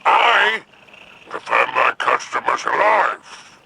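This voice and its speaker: An actor playing a sly, greedy alien crime boss in a video game, gravelly and smug, with a drawl. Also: male voice, fairly high pitch, big pitch swings, background noise, full character voice. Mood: mocking. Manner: slow, smug, drawling with pauses. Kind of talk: video game character dialogue.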